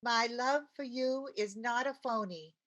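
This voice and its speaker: An actress playing a wry, scatterbrained housewife with sardonic comic timing in an old-time radio sitcom. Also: female voice, fairly high pitch, a little slow, a bit bright, muffled recording. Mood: playful, sentimental.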